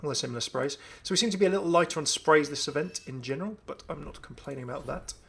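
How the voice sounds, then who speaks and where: A man hosting a gaming video with casual, enthusiastic commentary in a creaky voice. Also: fairly high pitch, very fast, a bit bright. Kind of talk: casual commentary on a game update.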